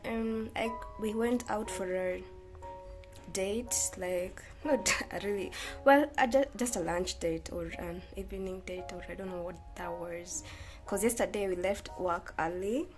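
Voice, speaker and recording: A woman's voice: medium pitch, a little slow, faint music behind. A young Kenyan woman with a Kenyan accent, vlogging in a rambling, chatty way and slipping Swahili phrases in. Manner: rambling, self-correcting, mixes in Swahili. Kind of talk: casual chatty vlog.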